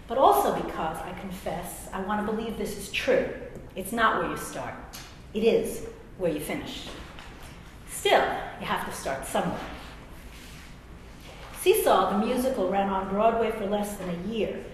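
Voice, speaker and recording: A woman's voice: medium pitch, normal speed. Middle-aged American woman in an echoey hall. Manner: wry, self-deprecating, conversational. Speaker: author and English professor. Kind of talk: public reading of a personal essay, with asides. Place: large reverberant room at a live reading. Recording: very echoey hall, faint background noise.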